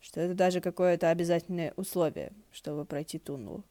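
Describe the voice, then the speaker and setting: A woman's voice: on the low side, brisk, warm tone, close to an everyday voice. A woman voicing a prickly, awkward male ghost in an audiobook, snide and muttering. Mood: awkward, needling.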